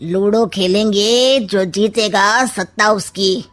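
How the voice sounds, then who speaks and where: A woman's voice: mid-range voice, takes it slowly, a bit bright. A woman voicing a sly, scheming middle-aged uncle in a cartoon, with a teasing, drawling way of talking.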